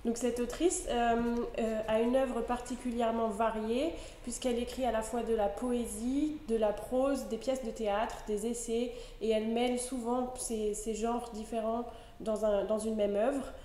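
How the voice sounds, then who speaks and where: Young female librarian, hesitant introduction, warm fairly high voice, echoey room. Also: fairly even intonation, moderate pace. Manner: hesitant, with restarts and fillers. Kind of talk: introducing a reading. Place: high-ceilinged ornate room, seated at a table. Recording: echoey room with faint noise.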